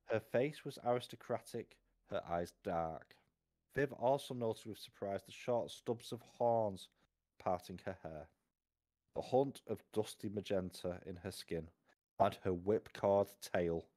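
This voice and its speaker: Low-pitched man reading a story aloud. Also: warm tone. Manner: unhurried narration with occasional stumbles and self-corrections. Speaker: live stream reader. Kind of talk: read-aloud storytelling from a fantasy novel.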